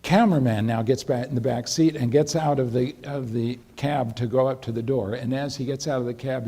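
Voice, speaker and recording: A man's voice: medium pitch, dark tone, slightly rough. An older man, a lecturer, telling a long anecdote to an audience with restarts and a dry humor. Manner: rambling storyteller with frequent restarts and dry humor. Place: lecture hall.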